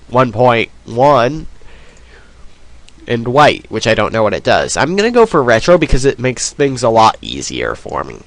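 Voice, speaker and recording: A man's voice: mid-range voice, no echo. A man with a cold, sniffling as he rambles through casual let's play commentary. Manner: rambling, self-deprecating, many ums.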